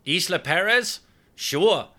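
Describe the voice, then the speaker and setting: A man's voice: sits high, lively intonation, slow, sharp tone, voice pushed up, full character voice. A man voicing an eager, friendly young male crewmate in audiobook dialogue.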